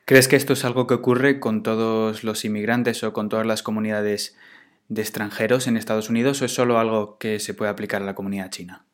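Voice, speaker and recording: A man asking interview questions in a measured, deliberate way. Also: fairly low pitch, little pitch movement.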